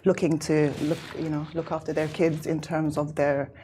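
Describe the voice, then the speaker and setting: A woman's voice: low-pitched, level tone, moderate pace, dark tone, a little hiss or hum. A woman originally from Lebanon whose first language is Arabic, speaking as a mother on a casual panel about her own experience. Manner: talks from personal experience.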